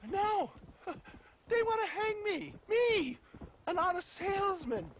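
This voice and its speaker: A man's voice: very high-pitched, big pitch swings, takes it slowly, a bit bright, slight background noise, exaggerated voice. An actor playing a flamboyant, theatrical older con-man selling health tonics, with a chuckling, wheedling manner. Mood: theatrical scheming. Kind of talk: video game cutscene acting.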